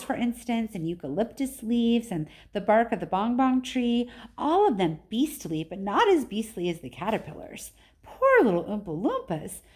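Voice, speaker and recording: Lady reading as an eccentric chocolate factory man, proud n excited, big swoopy storybook delivery. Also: mid-range voice. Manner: emphatic, theatrical storytelling.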